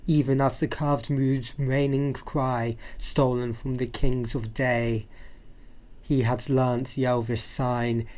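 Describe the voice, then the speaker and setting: A reciter giving a dramatic poetry reading in a solemn, measured, incantatory way. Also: medium pitch, a little mellow.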